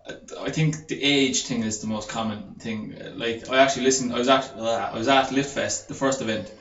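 A man's voice: medium pitch, fairly even intonation, brisk, fairly bright tone, slight background noise. A man in his twenties from Ireland, a gym owner and personal trainer talking on a podcast, rambling with many fillers and restarts. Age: 20s. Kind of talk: casual podcast chat. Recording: roomy, dull sound with faint ambience.

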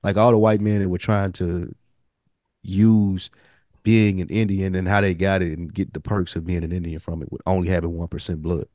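A man's voice: sits low, level tone, moderate pace, a little mellow, no echo, studio quality. A man co-hosting a podcast, discussing things casually and sharing researched facts. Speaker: podcast co-host.

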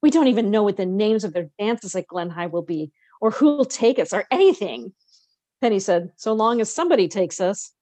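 A woman's voice: medium pitch, expressive, normal speed, mellow, dry recording. A woman co-hosting a podcast, expressively reading a novel aloud with casual, conversational asides.

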